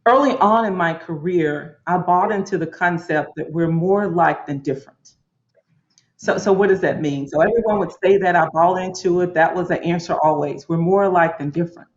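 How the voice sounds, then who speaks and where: A woman speaking as a panelist in a reflective, deliberate and earnest way. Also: on the low side. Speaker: panelist, corporate leader. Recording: roomy, muffled lo-fi audio.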